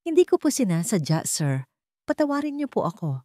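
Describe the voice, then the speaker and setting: A woman's voice: medium pitch, very animated, a little fast, mellow, dry recording, clean studio sound, pitched above the performer's own voice, close to an everyday voice. A woman voicing a frightened young waitress in a radio-style drama, stammering and apologizing politely. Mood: frightened, apologetic.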